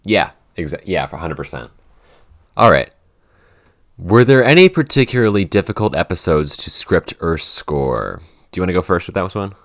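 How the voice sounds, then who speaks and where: A man's voice: low-pitched, big pitch swings, normal speed, creaky. A young man in his twenties, co-hosting a casual Q&A chat and rambling with lots of "like" and restarts. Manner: rambling, full of "like" and restarts, easy laughs. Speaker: podcast co-host, writer and director. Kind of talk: casual behind-the-scenes Q&A chat.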